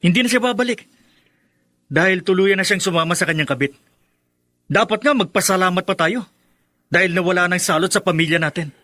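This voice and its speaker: An actor playing a sharp-tongued young man who mocks his departed stepmother, in a radio drama. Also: high voice, fairly quick, pauses often, no room sound. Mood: scornful. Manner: mocking and spiteful.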